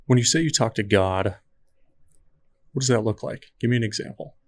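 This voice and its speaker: A man hosting a podcast who asks probing questions in a measured, conversational way. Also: medium pitch. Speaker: podcast host. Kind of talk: interview questions. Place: podcast studio.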